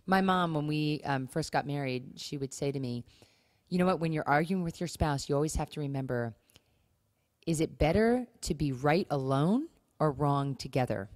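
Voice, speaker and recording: A woman's voice: low-pitched, normal speed, darkish tone, no room sound, clean studio sound. A woman co-hosting a podcast, talking casually and earnestly, with emphatic points and rhetorical questions. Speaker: podcast co-host. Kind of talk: casual conversational talk on marriage and faith.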